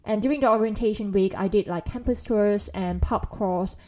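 A woman's voice: mid-range voice, moderate pace, background noise. Chatty woman podcaster. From Malaysia. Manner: chatty, many "like" fillers, laughing asides. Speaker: podcast co-host. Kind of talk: casual chat.

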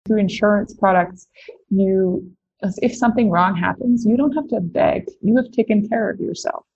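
A woman's voice: medium pitch, normal speed, creaky, a little mellow, breathy edge, muffled recording. A woman from the Netherlands, a company founder answering interview questions, thinking aloud with many "like"s and restarts. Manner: lots of "like" and restarts, thoughtful.

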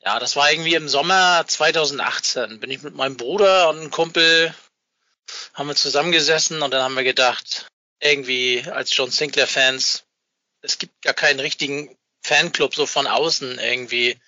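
A man's voice: medium pitch, moderate pace, bright, forceful tone, no room sound. A man giving a casual, rambling interview in colloquial language over a lo-fi internet call. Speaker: interview guest, fan club founder. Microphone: Skype call.

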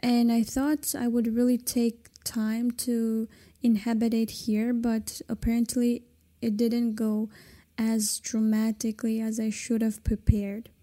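A woman in her late twenties, a native Kazakh speaker from China, hosts a solo podcast in English. Her voice is very dark and mellow and sits a little high, with a flat, even melody. She talks slowly and hesitantly, full of fillers and restarts. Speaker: podcast host. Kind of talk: casual solo podcast monologue.

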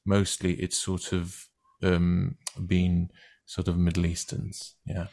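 A middle-aged man who grew up speaking Turkish talks as a guest, his voice very deep with a gravelly fry at the bottom. He speaks haltingly, restarting phrases and filling gaps with "uh" and "you know.". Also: monotone, warm tone. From Turkey. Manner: halting, many restarts and fillers. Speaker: guest actor. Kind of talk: casual conversation.

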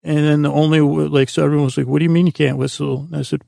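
A man with a creaky, gravelly voice hosting a sleep podcast in a meandering, halting, self-deprecating monologue full of tangents. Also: fairly high pitch, level tone, mellow. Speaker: podcast host.

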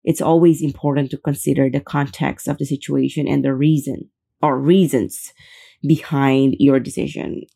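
A young woman hosts a solo podcast in a low, mellow voice with a gravelly fry. She talks earnestly and chattily, doubling words to stress a point. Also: fairly even intonation, moderate pace. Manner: earnest, chatty, emphatic repetitions. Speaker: podcast host. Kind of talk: casual solo podcast talk.

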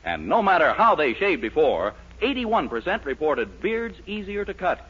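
An American man announces and narrates in polished 1940s radio style. His slightly high voice swoops widely in pitch, and the old recording is dull and lo-fi. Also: big pitch swings, normal speed, fairly bright tone, slightly rough.

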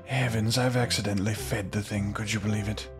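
A man's voice: on the low side, fairly even intonation, brisk, natural voice. A man acting in a comedy audio drama as a meek, bumbling, pampered prince, sheepish and hesitant with prissy formal phrasing. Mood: flustered, eager. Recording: long reverb under loud music.

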